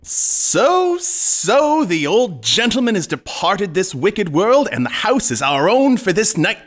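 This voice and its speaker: A woman's voice: mid-range voice, big pitch swings, takes it slowly. A woman playing a scheming, cheeky male servant in an audio farce, with a bustling, bossy, mock-grand delivery. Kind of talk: theatrical period farce in an audio play.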